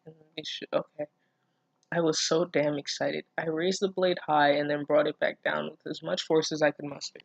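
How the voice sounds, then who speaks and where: A casual online reader with a mid-range voice, recorded close and dry. The reading slips often into expressive, incredulous commentary, with plenty of swearing. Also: mid-range voice, normal speed, creaky, dry recording, dull audio. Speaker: online reader reacting to fan fiction. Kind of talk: casual read-aloud with running commentary.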